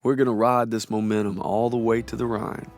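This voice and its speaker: An actor playing a weary young American infantryman, narrating a war story in a subdued, gravelly, reflective way over music. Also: mid-range voice, flat delivery, a little fast, lightly acted. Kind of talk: scripted cinematic war narration. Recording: music behind voice.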